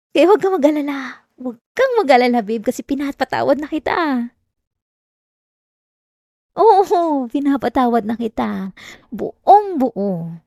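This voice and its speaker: A woman's voice: sits high, very animated, slow pace, gravelly, pauses often, warm tone, no echo, clean studio sound, full character voice. A woman with a Filipino accent playing an obsessive, possessive girlfriend in an intimate ASMR roleplay, coaxing and clingy with veiled menace. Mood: forgiving, clingy. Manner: clingy, coaxing, breaking into giggles, veiled threats. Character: possessive obsessive girlfriend, sweetly menacing, unhinged yandere lover. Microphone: close dry microphone.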